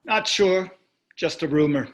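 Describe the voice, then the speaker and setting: A man's voice: fairly high pitch, measured pace, natural voice. An actor reading the part of a blasé, privileged husband in his sixties in a staged play, with dry, dismissive remarks.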